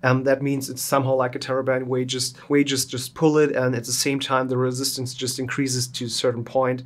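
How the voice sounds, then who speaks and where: Young male host, relaxed unboxing chat, flat even delivery, home studio. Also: medium pitch, brisk. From Germany. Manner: informal, loose non-native phrasing with fillers. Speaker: tech channel host. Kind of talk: casual product unboxing.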